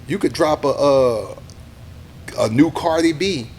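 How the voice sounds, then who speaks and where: A middle-aged man, a radio DJ talking casually in an interview, animated and acting out what other people say. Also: on the high side, slow pace, slight background noise. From Texas, USA. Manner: animated, acts out quoted people, says "you know what I'm saying" a lot.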